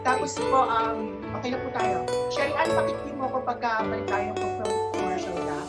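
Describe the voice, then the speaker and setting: Woman hosting over loud music. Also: on the high side, fairly quick. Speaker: host of an online radio talk show. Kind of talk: casual live hosting mixing English and Filipino.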